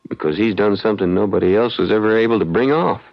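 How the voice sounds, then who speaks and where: A man acting a patient frontier lawyer in an old-time radio play. His voice is low and creaky, reasoning aloud with thoughtful pauses. The sound is dull, like vintage radio. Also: close to an everyday voice. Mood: reasoning, wry.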